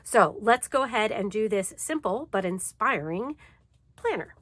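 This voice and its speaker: A woman's voice: mid-range voice, animated, a little slow, a bit bright, no echo. Woman, stuffy from a cold, craft vlog host, casual chatty tutorial, dry close sound. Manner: chatty and easygoing, with frequent fillers.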